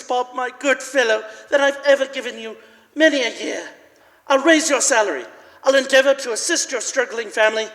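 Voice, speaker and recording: A man playing an excitable, emphatic old gentleman, a reformed miser, in a live radio play, with stammered eagerness. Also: extremely high pitch, heavily acted. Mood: jubilant. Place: large church, live performance. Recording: echoey church hall, live stage.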